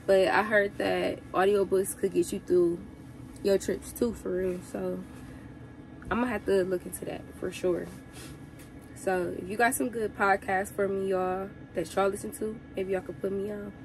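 A young woman truck driver giving casual advice to her viewers, sounding congested from a cold, chatty and relaxed. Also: medium pitch, normal speed, slight background noise, low-quality recording.

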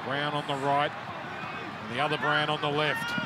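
A man calling a rugby league match live, excitable and rising to shouts on big plays. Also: a little high, moderate pace. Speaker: play-by-play commentator. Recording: loud stadium crowd and noise. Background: roaring stadium crowd.

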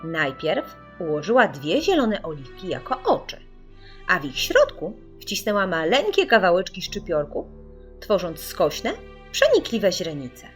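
Lively woman narrating a children's audiobook. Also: lowish voice, a little slow, faint music behind. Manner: animated, theatrical storytelling. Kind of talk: expressive scripted children's story narration.

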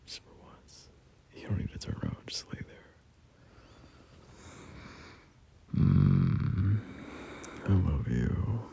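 A man playing a gentle, doting boyfriend in an ASMR roleplay, half-whispering soothing, hesitant reassurance in a breathy murmur. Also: low voice, exaggerated voice. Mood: affectionate, tender. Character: gentle, doting boyfriend comforting an unwell partner.

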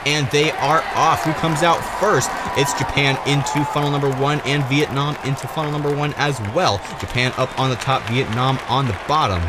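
Man calling play-by-play over loud crowd. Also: medium pitch, fairly even intonation, normal speed, hardly any pauses. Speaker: sports commentator for marble races. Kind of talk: play-by-play commentary. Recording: loud crowd noise behind commentary. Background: loud crowd and ambient noise.

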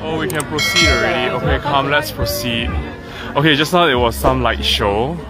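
A man's voice: medium pitch, normal speed. A man giving casual walking tour commentary, chatty and easily amazed. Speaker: vlogger. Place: outdoor waterfront light exhibit at night. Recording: phone recording outdoors with loud music. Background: loud music.

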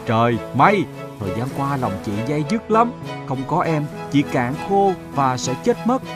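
A man's voice: mid-range voice, big pitch swings, normal speed, over music, lightly acted. A man voicing a vain elder-sister lake in a cartoon fable, with a theatrical delivery. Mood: regretful. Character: vain, haughty elder-sister lake, a female water spirit.

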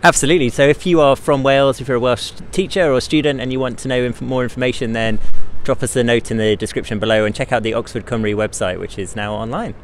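A man's voice: mid-range voice, steady tone, fast. A man in his thirties, an access fellow, cheerfully introducing a video to his phone held at arm's length outdoors. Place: outdoors by a building. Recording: faint street noise outside.

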